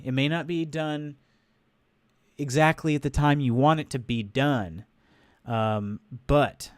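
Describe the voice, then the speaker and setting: A man's voice: mid-range voice, dry recording. Congested man chatting on a stream. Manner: rambling, loose, many fillers and restarts. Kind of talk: casual livestream chat. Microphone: desk microphone. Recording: dry close sound.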